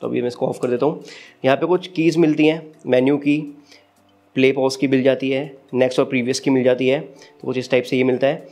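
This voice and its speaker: A man's voice: mid-range voice, steady tone, brisk, a little mellow. A man with an Indian accent giving a casual, step-by-step unboxing review as the channel's host. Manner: explanatory, step-by-step.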